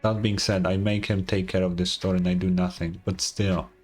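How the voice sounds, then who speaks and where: A man's voice: low-pitched, little pitch movement, moderate pace, husky, faint music behind. A young man doing casual, offhand game commentary with some swearing, also reading game text aloud. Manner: offhand, profane. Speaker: game streamer.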